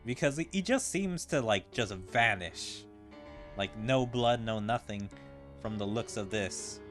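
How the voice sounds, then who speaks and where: A male gaming streamer giving casual commentary in a rambling way, thinking out loud as he goes. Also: on the low side, lively intonation, measured pace. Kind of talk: casual game commentary, reading dialogue aloud. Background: game music.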